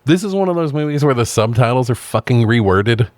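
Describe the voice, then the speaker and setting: A man's voice: mid-range voice, expressive, a little fast, no echo. A man co-hosting a podcast, trading film trivia and review banter in an informal, sweary way. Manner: informal, profane. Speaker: podcast co-host. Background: music bed.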